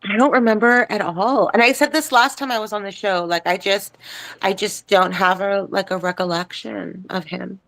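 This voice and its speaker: A woman's voice: medium pitch, normal speed, fairly bright tone. A woman guest with a head cold, insisting and repeating herself in a casual chat.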